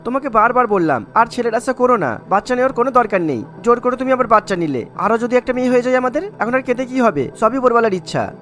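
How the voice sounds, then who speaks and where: A man's voice: very high voice, lively intonation, fast, a bit bright, faint music behind. A man playing a fed-up, stern husband and father in a cartoon, scolding resolutely. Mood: reproachful. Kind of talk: melodramatic cartoon voice acting.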